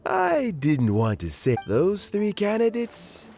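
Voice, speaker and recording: An actor playing a kindly, reflective elderly official in a video game, with a measured, avuncular manner. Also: male voice, sits high, huge pitch swings, vocal fry, a little mellow, faint music behind, exaggerated voice. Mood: reminiscing.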